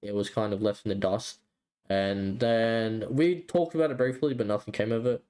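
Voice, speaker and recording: A man's voice: fairly low pitch, normal speed, darkish tone, smooth. A man co-hosting a podcast in casual chat, explaining things in a hesitant, rambling way. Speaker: podcast co-host.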